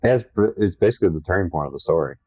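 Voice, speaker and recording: A young man with a low voice co-hosting a casual podcast chat, an enthusiastic horror fan who rambles a little. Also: lively intonation, very fast, darkish tone, dry recording. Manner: enthusiastic, self-described horror fanatic, rambling. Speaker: podcast co-host. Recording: dry, dull lo-fi sound.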